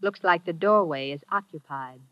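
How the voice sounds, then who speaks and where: An actress playing an investigator's eager, observant female sidekick in an old-time radio drama, earnest and excitable. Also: lowish voice, normal speed, no echo, natural voice. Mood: cautious. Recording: dull vintage radio recording.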